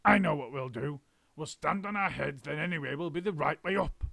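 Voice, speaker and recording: A nasty old man with a gravelly, blustering voice and a foul temper, as a male storyteller puts him on. He talks a little above the narrator's usual pitch and sounds dry and close. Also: a little fast, dry recording, full character voice. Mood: flustered. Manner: blustering and shouty.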